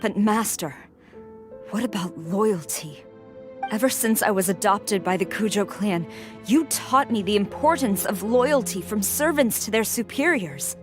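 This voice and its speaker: A woman's voice: mid-range voice, a little slow, music in the background, lightly acted. An actress playing an earnest, dutiful young warrior woman in a video game drama, speaking with solemn, formal intensity. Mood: hurt.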